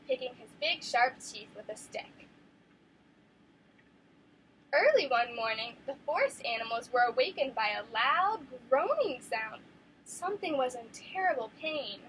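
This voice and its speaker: A young woman teaching a class, reading a story aloud to children in an encouraging, animated way and stopping to ask them questions. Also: high-pitched, slight background noise, rough recording. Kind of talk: classroom read-aloud lesson.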